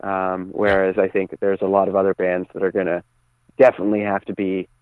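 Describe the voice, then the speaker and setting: A man's voice: low-pitched, monotone, fairly quick, clear, resonant tone, dry recording. A man giving a casual, conversational radio interview over the phone, speaking a bit hesitantly. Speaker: band singer, phone-in guest. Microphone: phone call. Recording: tinny narrow phone line.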